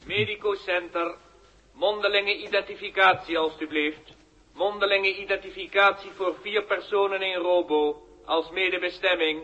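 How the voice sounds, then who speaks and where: A formal, officious machine voice from a 1960s radio play. It is high and piercing, repeating its announcements rigidly, on a dull old recording. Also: male voice, steady tone, normal speed, bright, forceful tone. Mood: officious, insistent. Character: officious automated robot checkpoint, rigid and formal. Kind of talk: 1960s radio drama acting.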